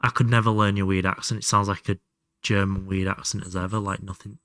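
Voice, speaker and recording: A man's voice: deep voice, little pitch movement, brisk, fairly bright tone, dry recording, studio quality. A man doing casual live game commentary and chatting to viewers, sweary and self-deprecating. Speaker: live streamer playing a video game.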